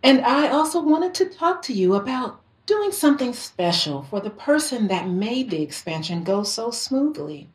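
An actress playing a seductive, scheming rich wife in a theatrical, coaxing audio drama style. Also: female voice, on the high side, sing-song, moderate pace, hardly any pauses. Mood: coaxing, sweet. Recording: roomy echo, faint music behind.

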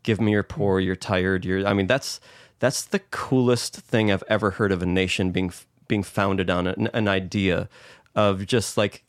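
An American man talks in a low register, recorded dry and close. He works through his points conversationally, explaining as he goes like a podcast co-host in discussion. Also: fairly even intonation, moderate pace, no echo. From United States.

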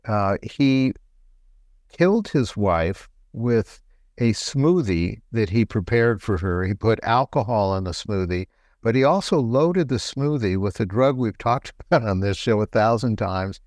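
A middle-aged man, a doctor co-hosting a health talk show, explaining things in a relaxed, conversational way. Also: on the low side, measured pace, no echo, studio quality. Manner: explanatory, relaxed, laughs lightly.

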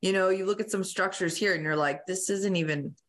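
A woman's voice: on the low side, brisk. A woman hosting a podcast, chatting casually and effusively with lots of "like" and "you know.". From moved from Italy to the United States as a young child. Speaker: podcast host. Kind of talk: casual enthusiastic chat.

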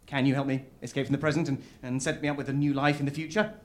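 A man's voice: medium pitch, level tone, racing, lightly acted. A man acting in a live audio comedy as a self-important, misunderstood writer, earnest and petulant, with a hesitant delivery. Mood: earnest, impatient. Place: live on stage at a festival venue.

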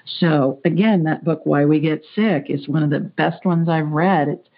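A woman hosting a call-in show, talking in a brisk, chatty, folksy way. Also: deep voice. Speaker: psychic show host. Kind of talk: call-in radio chat. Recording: muffled lo-fi audio.

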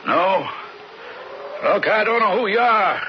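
A blustering cattle baron in an American radio western, older and used to giving orders. He speaks gruffly and very high, with an American accent, on a dull, noisy old recording. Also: animated, slow pace. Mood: angry, blustering. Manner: gruff, domineering. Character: bossy, blustering, powerful older rancher, town boss. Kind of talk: 1970s radio western acting. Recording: dull 1970s radio recording, clear noise.

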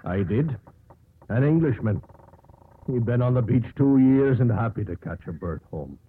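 An actor playing a deferential but anxious ship's first officer in 1950s radio drama style, earnest and emphatic. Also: male voice, medium pitch, expressive, moderate pace, husky. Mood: dutiful. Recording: tinny, hissy 1950s radio broadcast.

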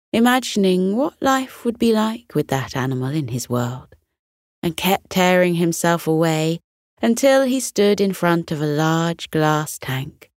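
A woman narrating a children's bedtime story in a soothing, deliberate, expressive storytelling style. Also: fairly low pitch, no room sound, clean studio sound.

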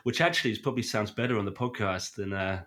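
Podcast co-host: British male, lowish husky voice with a cold, enthusiastic and chatty. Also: fast. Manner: excitable, enthusiastic fan. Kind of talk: casual podcast chat.

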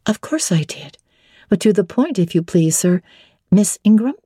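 Spirited young heroine of classic storybook, acted by a woman reader: mellow, husky, forthright, playfully defiant. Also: mid-range voice, expressive, moderate pace, dry recording, studio quality, voice pushed up. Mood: indignant. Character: spirited, frank young woman, teasing bride-to-be. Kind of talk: dramatic classic novel reading.

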